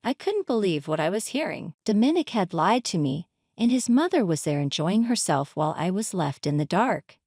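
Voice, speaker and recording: Female story narrator, scripted first-person drama, very expressive intonation, dry recording. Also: fairly low pitch, moderate pace, few pauses, no room sound.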